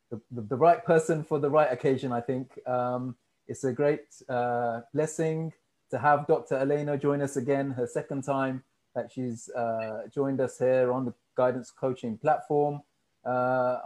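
A man's voice: mid-range voice, a little mellow. A man hosting an online interview as a business coach. He talks politely and hesitantly, with many ums and uhs, over a video call.

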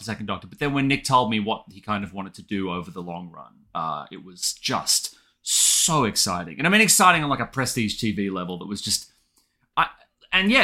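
Aussie guy being interviewed, kinda low but bright voice, super enthusiastic and chatty. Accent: Australian. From Australia. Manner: effusive, enthusiastic, colloquial. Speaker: guest, audio drama writer. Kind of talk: casual interview chat.

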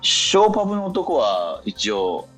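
A man hosting a casual radio talk show in a halting way, often repeating his short responses. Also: a little high, very animated, a bit bright, rough recording. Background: faint music.

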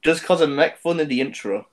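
A man co-hosting a casual podcast chat, talking haltingly and often restarting his sentences. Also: on the high side, lively intonation. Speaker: podcast co-host.

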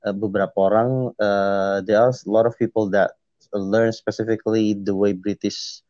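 A man's voice: on the low side, little pitch movement, normal speed, warm tone, no room sound, dull audio. A man co-hosting a casual podcast, speaking slangy Indonesian mixed with English and often searching for his words. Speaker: podcast co-host. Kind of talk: casual bilingual podcast chat.

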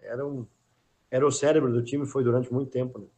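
A man's voice: mid-range voice, fairly even intonation, fast, a little mellow. A middle-aged former footballer as a podcast guest, talking in a casual, hesitant way and often repeating words while he thinks. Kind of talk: casual interview chat.

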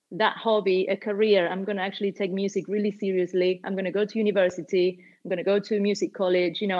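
Argentine-born woman in her 40s, rambly chatty guest, kinda dull lo-fi sound. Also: mid-range voice. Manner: warm, rambling with many fillers and restarts. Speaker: guest musician and label owner. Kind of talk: casual interview chat.

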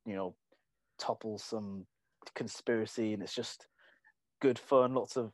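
Male co-host, halting delivery, opinionated, dry close mic. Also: on the low side, fairly even intonation, dry recording. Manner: hesitant, lots of restarts, opinionated. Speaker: podcast co-host. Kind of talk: casual podcast chat.

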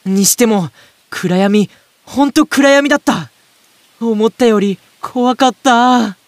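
A woman's voice: a little high, takes it slowly, no echo. A woman playing an earnest, easily startled young boy with casual, exclamatory speech in an audio drama. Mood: puzzled. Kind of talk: Japanese audio drama acting.

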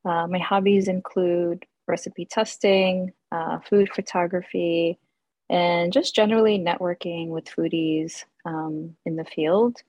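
A woman's voice: lowish voice, flat delivery, a little slow, a little mellow, no echo. Young american woman guest on a podcast, friendly, lots of ums, dry close mic. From United States. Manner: friendly, many ums and uhs, laughs. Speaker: guest, English instructor and food writer. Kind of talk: casual interview.

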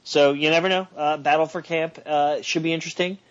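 A man's voice: fairly high pitch, fairly even intonation, a little fast. Joking man on a slightly dull line. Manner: joking, bold predictions, stammering restarts. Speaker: podcast co-host. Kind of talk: casual sports chat.

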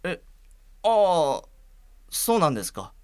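A man's voice: a little high, very animated, takes it slowly, clean tone. An actor playing a blind man in a radio drama who answers with hesitant, polite short replies. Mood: surprised. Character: upbeat blind man being read a letter.

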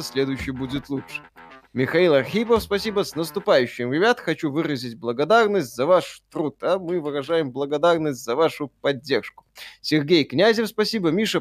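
A male streamer with a voice a little higher than most men's reads donation messages and thanks viewers by name, adding dry jokes. The sound is dry and close, with no room echo. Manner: dry, joking remarks. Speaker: stream co-host. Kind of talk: casual chat reading donations.

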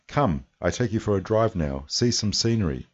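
A man's voice: on the low side, lively intonation, normal speed, highs cut off. Man voicing a genial, broken-English young host. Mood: cheerful. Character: smiling, genial young male local entrepreneur.

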